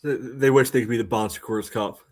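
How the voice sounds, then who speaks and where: A man's voice: mid-range voice, fairly even intonation, fairly quick, a little mellow. A man with an American accent co-hosting a sports podcast in casual chat, with a dry, understated way of talking.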